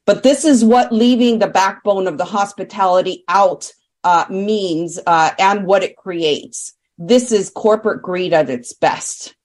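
A woman with a medium-pitched voice speaks as a union representative. She is firm and deliberate, setting out points as at a press briefing. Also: darkish tone. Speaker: hotel workers' union representative. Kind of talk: spoken statement at a press briefing.

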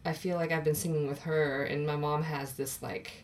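Low-voiced woman, relaxed and self-deprecating. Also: normal speed, recorded from a distance. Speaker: podcast guest. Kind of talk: casual conversation.

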